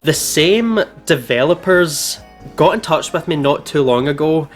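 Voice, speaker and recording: A man hosting a gaming channel, chatting casually and excitably, with an informal, giggly manner. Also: on the high side, a little slow. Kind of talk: casual let's play chat. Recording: music behind the voice. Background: background music.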